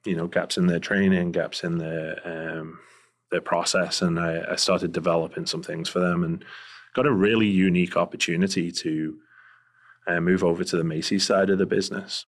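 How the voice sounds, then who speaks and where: A middle-aged man from Liverpool with a Scouse accent, talking through his career as an interview guest in a relaxed way, with many fillers. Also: low voice, moderate pace. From Liverpool, England. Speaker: guest, private investigator. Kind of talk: casual interview talk.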